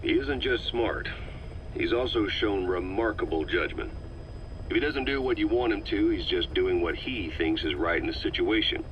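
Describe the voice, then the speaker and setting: An actor playing a seasoned, shrewd soldier mentor in a video game, speaking in a measured, assured drawl. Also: male voice, sits low, expressive, muffled recording, slight background noise. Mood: calm, proud. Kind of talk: video game voice acting, scripted dialogue.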